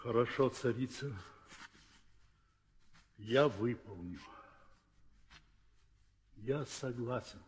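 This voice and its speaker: An actor playing an anguished old king and father in a theatrical old audio drama, his voice husky and slow. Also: medium pitch. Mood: broken, resigned. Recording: old radio play, hall reverb.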